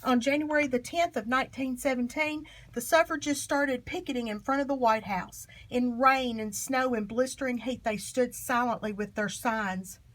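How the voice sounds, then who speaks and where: High-voiced woman reading a storybook aloud. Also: normal speed, few pauses, poor audio. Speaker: storybook reader. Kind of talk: expressive read-aloud of a children's history book.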